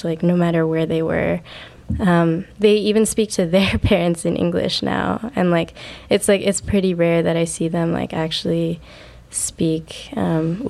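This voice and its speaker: Young woman, native Urdu speaker, lower voice, reflective and halting podcast guest. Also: vocal fry, darkish tone. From United States. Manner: reflective, hesitant, many fillers. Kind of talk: personal storytelling.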